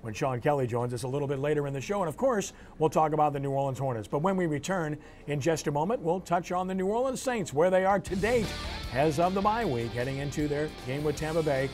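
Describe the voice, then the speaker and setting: Guy hosting a sports talk show, voice a bit high, chatty, faint music behind. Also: fast talker, darkish tone.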